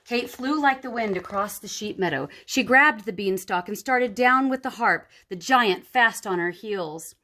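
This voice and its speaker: A woman tells a children's story in a medium-pitched voice. She reads expressively, with dramatic emphasis on the words, as a storyteller for young listeners. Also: a little slow, few pauses, bright, forceful tone. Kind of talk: expressive picture-book read-aloud.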